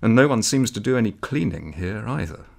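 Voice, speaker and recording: A man's voice: fairly low pitch, animated, a little fast, creaky, a little mellow, voice pushed up. A man voicing an anxious, indignant son in a literary story, worried and muttering to himself. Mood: fretful, indignant. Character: anxious son visiting his sick father, fretful, indignant.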